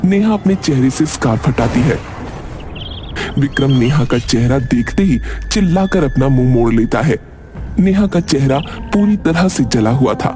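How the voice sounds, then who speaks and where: A man's voice: mid-range voice, animated, moderate pace, noisy background. A man reading scripted story narration for a dramatic tale.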